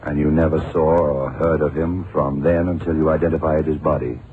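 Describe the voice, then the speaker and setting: A very deep-voiced, commanding investigator, terse and probing. He speaks in the measured style of vintage radio drama. The recording is narrow, muffled and clearly noisy. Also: fairly even intonation. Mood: probing, polite. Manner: terse, probing, methodical questioning. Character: seasoned, shrewd male FBI investigator, commanding older colleague. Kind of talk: 1960s-70s radio drama acting.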